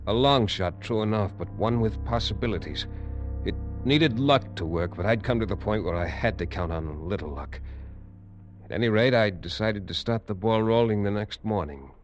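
An actor playing a hard-boiled American adventurer who narrates wryly in first person in a 1940s radio drama. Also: male voice, fairly low pitch, moderate pace, faint music behind, natural voice. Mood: brooding. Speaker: narrator and lead.

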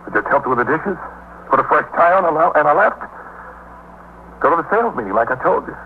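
Actor as an anxious husband on tinny old radio. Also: male voice, a little high, animated, quick pace, close to an everyday voice. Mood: defensive, agitated. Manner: hesitant, repetitive, defensive. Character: anxious, evasive husband, a bakery promotion man. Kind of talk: 1950s radio police drama acting. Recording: muffled, noisy 1950s radio recording.

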